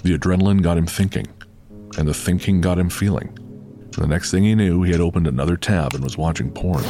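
A man's voice: low voice, brisk, mellow, soft background music. A man narrating a scripted horror audio drama as storyteller.

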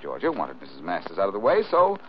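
An American actor playing a cool, sardonic amateur detective in a 1940s radio mystery, glib and self-assured, on an old recording. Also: male voice, sits low, wildly swooping pitch, fast talker. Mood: confident, sardonic. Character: wisecracking, cool-headed amateur detective man, quick and sardonic. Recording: dull, hissy old-time radio recording.

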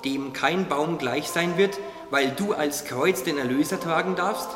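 Highish, gentle wise caterpillar played by a man. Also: unhurried, natural voice. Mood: solemn, encouraging. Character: wise, encouraging little caterpillar, gentle friend of the tree. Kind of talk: German radio play acting. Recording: echoey hall, music underneath.

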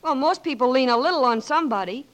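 An actress playing a patient, coaxing hospital nurse in a 1940s radio drama. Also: female voice, high-pitched, lively intonation, quick pace.

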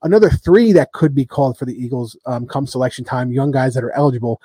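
A man's voice: mid-range voice, fast talker, dark tone, husky, dry recording. A man hosting a podcast, rambling through a rugby preview with lots of ums and joking trash talk. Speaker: podcast host. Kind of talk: casual rugby chat.

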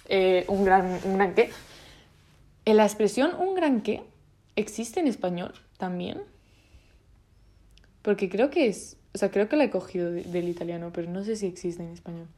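A woman's voice: mid-range voice, a little mellow. A nineteen-year-old woman from Spain with a Spanish accent, talking in a casual vlog with many restarts and fillers and lots of slang. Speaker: vlogger.

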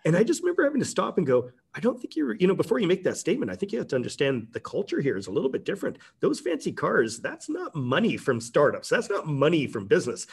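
A man's voice: fairly high pitch, breathy edge. A middle-aged man, a company president talking casually as a guest, rambling with many restarts. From Canada. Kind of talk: casual interview talk.

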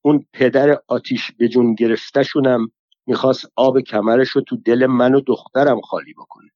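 Scolding older woman pilgrim, as a male reader puts her on, bitter and foul-mouthed, piling up curses and folk sayings, told in a storybook reading. The sound is dry and close, a little dull in the highs. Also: fairly even intonation, a little mellow, dry recording, natural voice. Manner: cursing, complaining torrent of folk sayings. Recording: slightly dull lo-fi dry recording.

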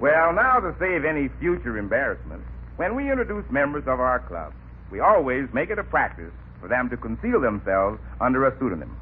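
Club official, male actor: formal, fussy, animated delivery, old-time radio comedy with scratchy, limited-band sound. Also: mid-range voice, brisk, narrow, tinny audio, lightly acted. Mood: formal, helpful. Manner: formal, explanatory, patient.

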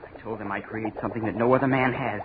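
A man's voice: fairly low pitch, fairly even intonation, quick pace, natural voice. Man playing an unhinged young student chemist, old radio. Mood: fanatical. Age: young adult. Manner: feverish, repetitive, boastful. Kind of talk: 1940s radio horror acting. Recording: noisy, narrow-band 1940s radio recording.

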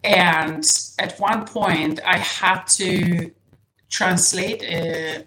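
A woman from Serbia whose native language is Serbian, a podcast guest speaking in a casual interview, soft-spoken and hesitant, restarting often and laughing lightly. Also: lowish voice, steady tone, very slow, background noise. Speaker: guest, nutrition coach.

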